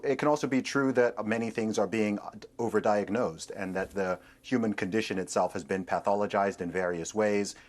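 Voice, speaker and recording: A man with a low, grounded voice speaks as a TV debate guest. He works through his case step by step, stacking one point onto the next in an even, measured delivery. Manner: steady, builds points in lists. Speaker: guest commentator. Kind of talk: measured on-air commentary.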